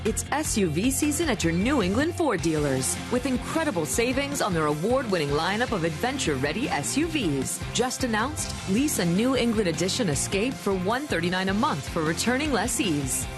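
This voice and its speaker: A woman's voice: medium pitch, very animated, moderate pace, hardly any pauses. A woman reading a scripted promotional radio commercial as an announcer. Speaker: advertisement announcer. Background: music bed.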